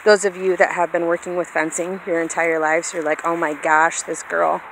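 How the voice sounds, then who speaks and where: A woman's voice: level tone, normal speed, fairly bright tone, poor audio. A woman in her thirties chatting informally and self-deprecatingly to viewers, recorded on a handheld phone outdoors. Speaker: vlogger, hobby farmer. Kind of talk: casual rambling vlog chat to viewers. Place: outdoors in an open grassy yard. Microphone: handheld phone at arm's length. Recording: windy outdoor phone recording, vehicle-like rumble. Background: wind and outdoor noise.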